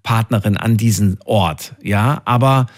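A man's voice: lowish voice, unhurried. A man in his late thirties hosting a live radio call-in show, chatting conversationally and probing with engaged questions. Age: late 30s.

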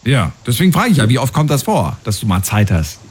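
A man's voice: mid-range voice, very animated, brisk, slightly rough. A man in his forties from Thuringia hosting a radio call-in show, chatty and asking questions with many fillers. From Thuringia, Germany. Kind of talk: casual call-in radio chat.

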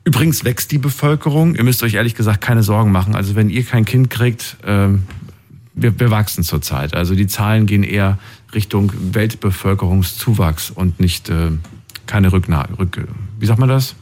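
A man with a fairly low voice hosts a live call-in show. He talks informally and conversationally, putting questions to callers and addressing listeners directly. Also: normal speed, a little mellow. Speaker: radio call-in show host. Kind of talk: casual live talk with callers.

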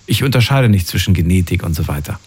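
A man's voice: sits low, lively intonation, quick pace, a little mellow, husky. A man interviewing a guest, asking probing follow-up questions in a conversational way.

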